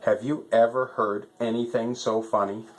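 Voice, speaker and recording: A man voicing an excitable, eager, mocking lion in a children's storybook. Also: mid-range voice, moderate pace, slight background noise, lightly acted, poor audio.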